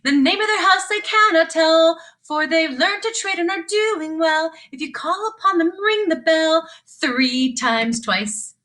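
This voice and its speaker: Lady doing a kids read along, high bright voice, slow n sing-songy. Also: animated. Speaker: teacher reading aloud. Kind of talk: sing-song children's read-along narration.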